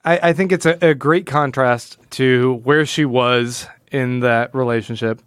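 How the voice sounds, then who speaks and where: A man co-hosting a podcast, casually discussing comics in a halting way, repeating words as he goes. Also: mid-range voice, clean tone, no echo, studio quality. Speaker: podcast co-host.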